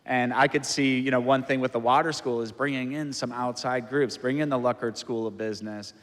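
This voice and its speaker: A man on a city council, explaining a proposal at a public meeting in a measured, explanatory way. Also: medium pitch, fairly even intonation. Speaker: council member. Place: council chamber. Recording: long reverb of a council chamber.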